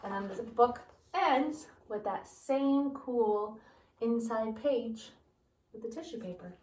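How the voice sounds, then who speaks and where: A woman's voice: on the high side, slow, a little hiss or hum. A woman teacher reading a picture book aloud to her students, asking them questions as she goes. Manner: animated, asks listeners questions. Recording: roomy, from a distance.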